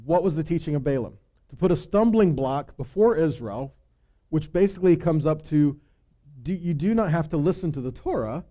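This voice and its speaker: A man delivers a scripture-teaching sermon in a fairly high yet warm, relaxed voice. He stresses key phrases, poses questions to his listeners and answers them himself, then drops into quieter asides. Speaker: congregation teacher or preacher.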